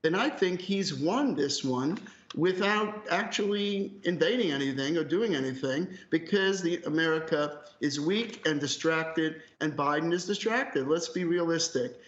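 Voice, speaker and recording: A man's voice: a little high, unhurried, fairly bright tone. Emphatic male analyst in an echoey room. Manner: emphatic, opinionated, direct. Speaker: academic analyst, interview guest. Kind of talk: live news analysis, conversational. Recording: roomy echo, slightly muffled call audio.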